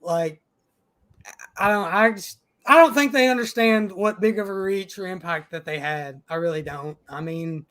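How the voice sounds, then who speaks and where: A man's voice: high voice, normal speed, a bit bright. A man hosting a casual podcast and reading out live chat, emphatic and profane, with frequent restarts.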